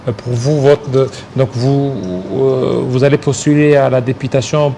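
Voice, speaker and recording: A man's voice: medium pitch, flat delivery, moderate pace, vocal fry, mellow, slight background noise. A man with a Congolese French accent hosting a political interview, putting probing, challenging questions. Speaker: interviewer, host.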